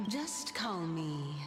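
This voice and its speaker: A woman's voice: lowish voice, sing-song, extremely slow, music in the background, full character voice. An actress playing a playful, mysterious woman in a game, coy and amused in her manner. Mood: teasing. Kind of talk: dramatic game voice acting.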